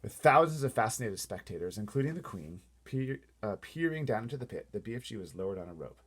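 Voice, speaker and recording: A man reading a storybook aloud in an animated way, playfully breaking off for casual asides to wonder aloud. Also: fairly low pitch, fast talker, breathy edge.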